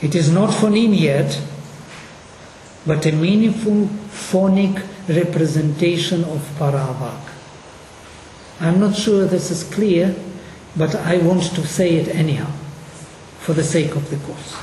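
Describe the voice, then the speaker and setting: A lecturer with a Russian accent, explaining slowly and deliberately during a course on linguistics. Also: medium pitch, dark tone, slight background noise. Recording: echoey hall, mic at a distance.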